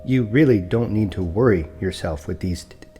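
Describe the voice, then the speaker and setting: Man playing a dark-voiced, stuttering, formal broker. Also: lowish voice, soft background music, lightly acted. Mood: quietly firm. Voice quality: stutters on hard consonants. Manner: polite, precise, measured, formal. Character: unflappable, formal male property broker with shady services. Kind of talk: audio drama acting.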